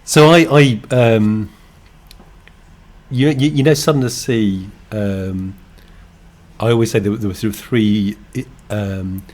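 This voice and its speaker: An English man co-hosting a podcast, talking thoughtfully in halting, restarting sentences. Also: lowish voice, darkish tone. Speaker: podcast co-host, game designer. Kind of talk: casual chat.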